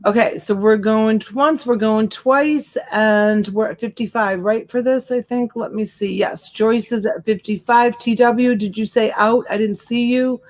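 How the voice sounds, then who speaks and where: A woman's voice: a little high, fairly even intonation, measured pace, few pauses. A woman in her forties with a slight Boston accent, hosting a casual live-stream auction from home and greeting viewers by name with endearments. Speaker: live-stream seller and host. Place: home room with shelves. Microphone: desk USB mic.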